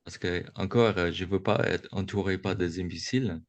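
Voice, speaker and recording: A man from the United States gives a reflective Buddhist talk to young adults over a video call, slow and halting, often restarting his sentences. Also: sits low, fairly even intonation, no room sound. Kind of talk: reflective dharma talk on a webinar. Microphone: video call microphone.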